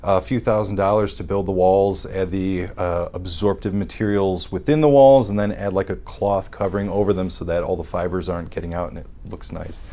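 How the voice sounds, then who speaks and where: A man in his thirties speaks in a somewhat low voice, walking through technical procedures step by step. His delivery is measured and explanatory, with occasional restarts. He sits on a studio set, picked up by an off-camera mic. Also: steady tone, mellow. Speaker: hearing scientist guest. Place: dark studio set with armchairs.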